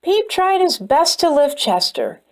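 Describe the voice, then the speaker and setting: Woman storyteller reading to kids, very expressive, sing-song, unhurried. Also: high-pitched. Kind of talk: children's read-aloud.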